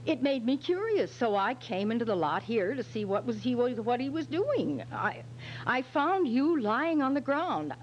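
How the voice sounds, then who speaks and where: Woman acting a flustered young woman, high, animated. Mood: pleading, defensive. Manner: halting, protesting innocence. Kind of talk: 1940s radio drama acting. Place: hotel function room stage, indoors. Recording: slightly noisy stage recording on videotape.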